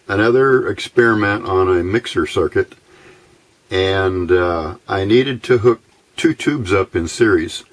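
A middle-aged American man giving a casual, halting instructional narration as an electronics hobbyist, recorded indoors at a workbench on a camera microphone. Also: deep voice. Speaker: electronics hobbyist demonstrating a homemade receiver.

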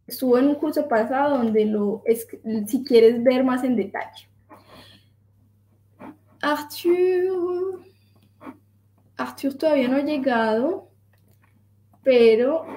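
A woman's voice: sits high, mellow, a little hiss or hum. A young woman teaching an online French class, patiently explaining and switching between French and Spanish. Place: home room. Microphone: video call, laptop mic. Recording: roomy video call audio.

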